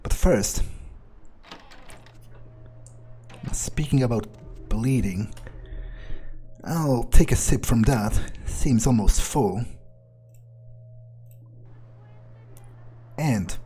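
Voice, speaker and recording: A man roleplaying a jaded, ruthless, arrogant vampire in a game, speaking in a halting, dismissive, sighing way. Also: medium pitch, expressive, mellow, breathy edge, faint music behind. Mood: matter-of-fact. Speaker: video game player roleplaying his character. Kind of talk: in-character improvised playthrough monologue.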